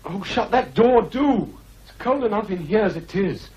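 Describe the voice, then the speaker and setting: An actor playing a sickly, peevish young husband in a radio drama, with a whiny, fretful way of talking. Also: male voice, medium pitch, expressive, moderate pace, lo-fi sound, a little hiss or hum, natural voice. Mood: whining.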